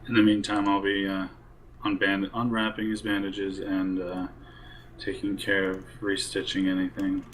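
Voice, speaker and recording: A man's voice: low voice, completely flat delivery, normal speed, fairly bright tone, a little hiss or hum, low-quality recording. A man improvising in character as a survivor group's surgeon in casual role-play banter. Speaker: player acting as the group's surgeon.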